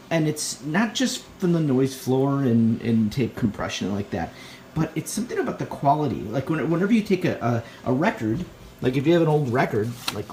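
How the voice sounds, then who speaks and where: A man's voice: mid-range voice, a little mellow, slight background noise. Man rambling enthusiastically in a casual vlog. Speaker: vlogger, tape collector. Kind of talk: casual show-and-tell vlog. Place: indoor home room. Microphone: handheld phone near the speaker.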